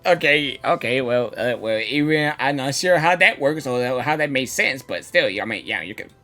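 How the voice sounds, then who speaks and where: A woman streamer giving casual live reactions to a game, halting and repeating words as she thinks aloud. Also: extremely low pitch, lively intonation, fairly bright tone, faint music behind.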